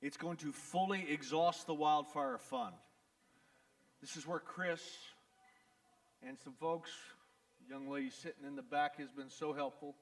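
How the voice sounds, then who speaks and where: Texan lawyer at a town hall, plain-spoken and wisecracking, fairly high voice, mic picks him up from afar. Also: male voice, fairly even intonation, a little slow, long pauses, far from the mic. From Texas. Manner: folksy, blunt, joking, repeats "the bottom line is". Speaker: attorney. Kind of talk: town hall address to residents. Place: event venue in front of an audience.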